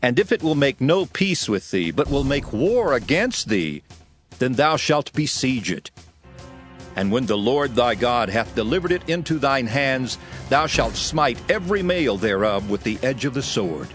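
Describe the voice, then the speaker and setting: Solemn male scripture narrator, expressive intonation. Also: medium pitch, faint music behind. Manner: solemn, formal, measured.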